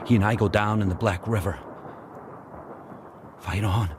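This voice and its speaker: Male actor as devoted sled dog narrator: very low, solemn, terse, intense, over noisy ambience. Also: fairly even intonation, natural voice. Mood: grim, struggling. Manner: solemn, terse, incantatory delivery. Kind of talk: first-person radio drama narration. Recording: noisy rushing ambience behind voice.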